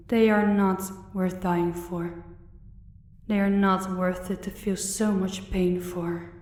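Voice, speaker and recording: A woman playing a sadistic female gangster who taunts and threatens very slowly. Her voice sits at a medium pitch with clear room echo around it, mocking and menacing like an audio drama villain. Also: a little mellow, natural voice. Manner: taunting, slow, drawn-out. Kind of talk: audio roleplay villain acting. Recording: roomy echo on the voice.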